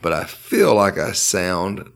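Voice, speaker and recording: A man's voice: sits low, big pitch swings, takes it slowly, gravelly. A man co-hosting a casual podcast, stuffed up from allergies, joking in a dry, sighing way. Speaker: podcast co-host.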